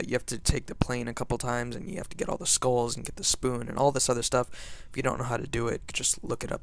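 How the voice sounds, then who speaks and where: A man's voice: medium pitch, gravelly, no echo. A young man giving casual, hesitant gameplay commentary, his voice a bit off from being sick. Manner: informal, hesitant. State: sick, voice slightly off. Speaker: gaming video creator.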